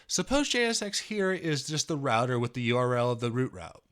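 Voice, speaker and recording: A man in his thirties reading a technical programming tutorial aloud in an expressive, slightly dramatic way. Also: mid-range voice, fairly quick. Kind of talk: read-aloud technical walkthrough with spoken asides.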